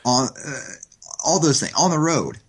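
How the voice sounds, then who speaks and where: A man's voice: a little high, animated, measured pace, husky. A man guesting on a sports podcast over the line, making earnest, analytical points with plenty of fillers. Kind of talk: casual sports chat. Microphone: phone line.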